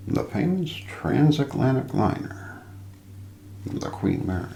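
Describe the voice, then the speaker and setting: Guy doing hushed asmr mystery narration, really slow n gravelly, lots of pauses, bit of room echo. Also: mid-range voice, sing-song, a little hiss or hum. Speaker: ASMR narrator hosting a mystery show roleplay. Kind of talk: soft ASMR scripted narration of ghost stories.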